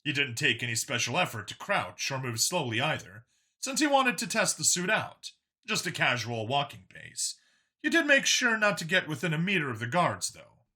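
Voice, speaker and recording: A man narrates a science fiction story in a scripted, dramatic read. His voice sits a little high and is strikingly bright and sharp. The pitch moves in a lively way through the sentences. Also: moderate pace. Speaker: audiobook narrator.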